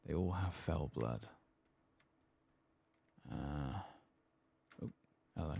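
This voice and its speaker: A man giving soft-spoken, sometimes whispered ASMR game commentary, murmuring casually to himself close to the mic and swearing now and then. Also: very deep voice, expressive, very slow, creaky, a little hiss or hum. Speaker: ASMR gaming streamer.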